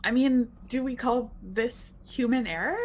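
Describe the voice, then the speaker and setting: A woman's voice: fairly high pitch, a little slow. A woman speaking casual in-character dialogue as a player in a tabletop roleplaying game. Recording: faint background noise.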